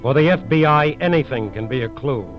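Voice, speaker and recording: A man's voice: mid-range voice, lively intonation, fairly quick, close to an everyday voice. A man narrating a 1940s radio crime drama in a terse, suspense-building style. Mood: suspenseful. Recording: muffled vintage radio broadcast with music. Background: dramatic music.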